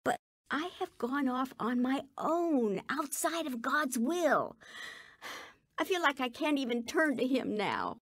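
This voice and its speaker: A woman's voice: sits high, big pitch swings, takes it slowly, a bit bright, no room sound, clean studio sound, heavily acted. An actress playing a remorseful woman burdened by shame, earnestly telling her life story in a radio drama. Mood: grieving. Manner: earnest, regretful. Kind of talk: dramatized first-person testimony.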